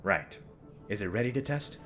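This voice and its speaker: An actor playing a nervous, joking older-brother ship pilot. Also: male voice, on the low side, animated, fairly bright tone, faint music behind. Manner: nervous, joking, fast-talking bluffs. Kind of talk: fan audio drama acting.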